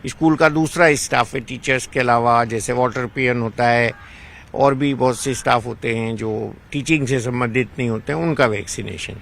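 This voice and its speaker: A man's voice: mid-range voice, normal speed, gravelly, a bit bright. A man, a doctor, explaining his advice in a news interview. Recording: noisy location interview, faint ambience.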